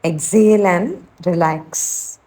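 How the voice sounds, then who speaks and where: A woman teacher leading a guided meditation, speaking in a soothing, unhurried, gently instructing way. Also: lowish voice.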